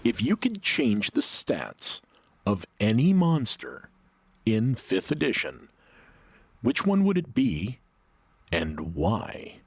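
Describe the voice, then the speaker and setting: A man's voice: on the low side, wildly swooping pitch, slow, long pauses, breathy edge, dry recording. A man reading out a question on a podcast.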